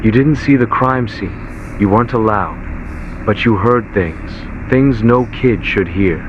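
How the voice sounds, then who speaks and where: Male horror narrator, low-pitched and ominous, on dull lo-fi tape-style audio with clear background noise. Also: a little slow. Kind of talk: scripted horror story narration in second person. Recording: lo-fi muffled VHS-style audio, heavy noise.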